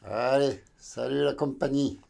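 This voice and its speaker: A man from southern France hosting an educational video, explaining informally in a halting, didactic way. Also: mid-range voice, gravelly, warm tone. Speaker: video channel host.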